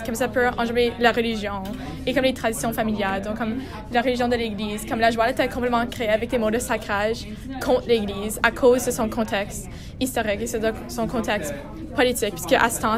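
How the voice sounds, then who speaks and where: Teen girl student, steady argument full of "comme"; slightly high voice, music behind. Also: brisk. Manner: fluent, peppered with "comme". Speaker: student in a class discussion. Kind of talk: classroom round-table discussion.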